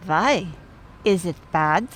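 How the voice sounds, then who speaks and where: An earnest, easily exasperated woman at a wake in a radio drama. Her voice is fairly low and she speaks very slowly, with apologetic hesitation. A faint rumble runs behind her. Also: expressive. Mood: puzzled. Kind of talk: radio play dialogue.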